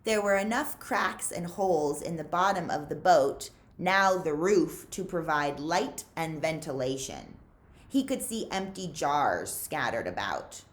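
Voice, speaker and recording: A woman reading a story aloud to young listeners in an expressive, deliberate, suspenseful storytelling manner. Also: low voice. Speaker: librarian reading aloud to children. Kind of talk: expressive read-aloud storytelling, pausing to ask listeners questions.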